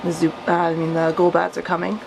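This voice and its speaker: A woman's voice: on the low side, fast talker, creaky, background noise. A woman reading out her own childhood story with casual, amused, self-mocking commentary. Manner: self-mocking, chuckly, animated when reading. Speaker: storyteller, vlogger.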